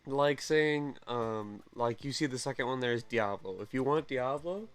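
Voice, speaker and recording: A young man hosting a gaming channel, chatting casually and excitedly through game news, often correcting himself mid-sentence. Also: medium pitch, moderate pace, soft background music. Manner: rambling, self-correcting, excitable.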